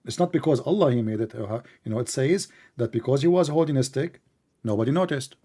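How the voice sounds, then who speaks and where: Dark-voiced man, teasing debater. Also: mid-range voice, animated, fast talker. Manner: teasing, sarcastic, questioning in plain broken English. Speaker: debate host. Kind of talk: live online debate, conversational.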